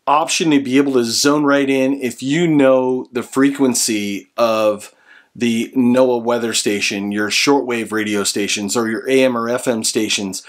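A man's voice: medium pitch, fairly even intonation, a little slow. A man in his forties with an American accent, explaining a product to camera in an earnest, instructional way. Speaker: product reviewer and presenter. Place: small room indoors at home.

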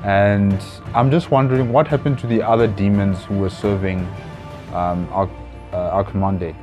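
A young man hosting a reaction video with a fairly low voice. He talks casually to camera in a hesitant, thinking-aloud way, on a lapel mic with music playing behind. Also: fairly even intonation, moderate pace, muffled recording. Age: young adult. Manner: hesitant, musing. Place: small plain room indoors.

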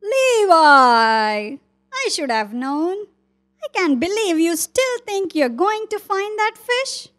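A woman plays an inquisitive little know-it-all animal in a puppet show for children. The voice sits high, full of questions and facts. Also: sing-song, slow, fairly bright tone, full character voice. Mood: teasing. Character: inquisitive, knowledgeable animal puppet named Oliver.

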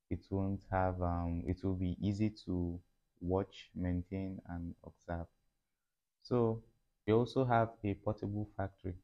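A young Nigerian man with a Nigerian accent, speaking to camera as a factory owner teaching how to set up a business, measured and advisory with frequent fillers. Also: deep voice, highs cut off. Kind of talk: instructional talk to camera. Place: indoor room with curtains. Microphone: lapel mic.

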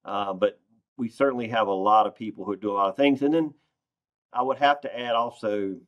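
A man's voice: on the low side, a little fast, a bit bright, highs cut off. A man, giving earnest, plain-spoken interview answers as a farm organization president over a video call. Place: wood-paneled conference room indoors. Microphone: video call, computer microphone.

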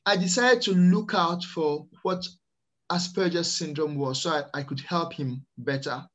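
A middle-aged man from Ghana, the Netherlands and France, telling his own story in a hesitant, measured way on a video call. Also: fairly high pitch, a bit bright. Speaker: interview guest, early years teacher. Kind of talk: personal storytelling in an interview. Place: small plain room indoors, on a video call. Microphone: computer microphone on a video call.

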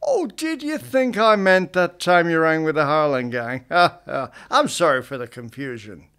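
Man as smug ranger boss, mocking, lively swooping voice, radio drama. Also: a little high, measured pace, clear, resonant tone. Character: smug scheming male Texas Ranger boss.

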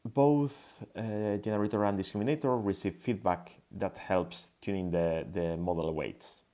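A man from Spain with a Spanish accent giving an explanatory slide lecture, pausing hesitantly as he goes. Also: lowish voice, fairly even intonation, warm tone. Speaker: guest lecturer, tech founder.

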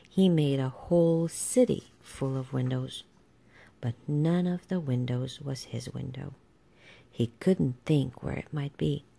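A woman narrates a children's picture book in a low-pitched voice. Her delivery is slow and unhurried, with a soft, mellow tone suited to reading aloud. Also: vocal fry, breathy edge. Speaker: storybook reader.

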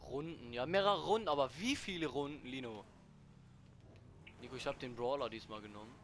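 A young man giving casual, excitable commentary while playing a racing game, swearing freely and bursting into shouts. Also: mid-range voice, brisk, a little hiss or hum. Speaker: gaming co-host (Max).

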